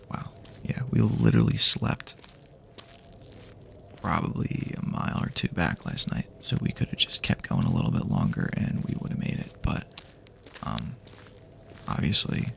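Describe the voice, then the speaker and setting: A man speaking softly and half-whispering in a halting, casual, rambling way, improvising an immersive ASMR roleplay as an apocalypse survivor. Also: sits low, wildly swooping pitch, a little hiss or hum. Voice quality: breathy, half-whispered, gravelly. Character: weary friendly male apocalypse survivor guiding a companion.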